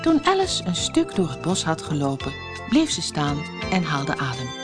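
A woman's voice: deep voice, huge pitch swings, normal speed, over loud music. A woman narrating a children's fairy tale as an audiobook, with dramatic, carefully phrased storytelling.

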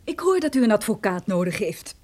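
Radio-play casting: stern young lawyer, mellow tone, precise, brisk and businesslike. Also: female voice, medium pitch, very animated. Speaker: supporting actress. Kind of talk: radio detective drama acting.

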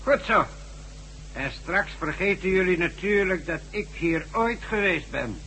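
An actor playing a sinister male hypnotist who bends people's minds, speaking slowly and commandingly in an old radio play. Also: high-pitched, animated, pushed and bright, slight background noise, heavily acted, low-quality recording. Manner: slow, commanding, mocking. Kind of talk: 1970s radio drama acting.

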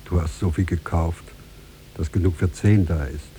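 A man's voice: deep voice, normal speed, mellow, close to an everyday voice. A man playing a scheming, gloating old merchant villain in a theatrical 1950s German radio play. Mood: wheedling, insistent. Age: older. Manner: insistent, theatrical, with wheedling servility and gloating. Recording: noisy 1950s radio recording.

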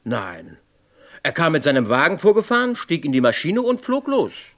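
Deferential male airfield mechanic, radio crime drama, fairly high and animated. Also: normal speed. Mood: eager, deferential. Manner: deferential, eager to help.

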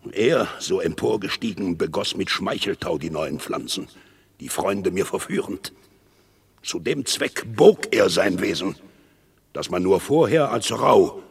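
Raspy, gravelly bitter commander. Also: male voice, on the low side, exaggerated voice. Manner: declamatory, deliberate. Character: proud, bitter, resentful male military commander turned accuser. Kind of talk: classical stage-verse radio drama acting.

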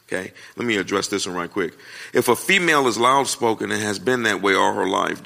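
Gravelly, forceful American male preacher. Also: lowish voice. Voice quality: naturally loud voice. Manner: folksy, emphatic, repeats phrases for effect. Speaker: preacher answering questions at a marriage conference. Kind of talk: conversational sermon with question and answer.

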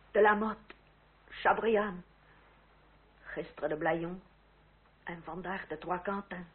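An actress playing an anxious, motherly French aristocratic lady, middle-aged, in an emotional and genteel radio drama style. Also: lowish voice. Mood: despairing. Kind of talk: period radio drama acting. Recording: tinny, noisy old radio play recording.

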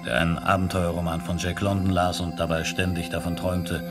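An actor playing a detached narrator of a science fiction radio play, telling it in a factual, report-like manner. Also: male voice, low-pitched, flat delivery, fairly quick. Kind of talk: scripted narration, 1970s German radio drama. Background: electronic music.

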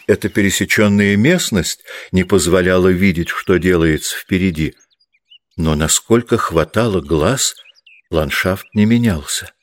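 Male narrator for literary fiction audiobook. Low register, dry studio-tight sound, scripted delivery. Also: no echo.

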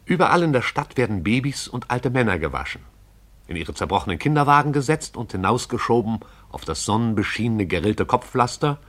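A man narrating a literary radio play in a measured, descriptive storytelling way. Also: medium pitch, animated, fairly bright tone.

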